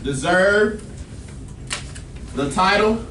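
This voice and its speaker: A man's voice: high-pitched, expressive, drawn-out words. A male teacher giving directions to a class, often checking in with "all right?". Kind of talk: classroom instruction. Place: large classroom with students. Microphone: far camera at back of room. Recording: echoey classroom from far off, noisy.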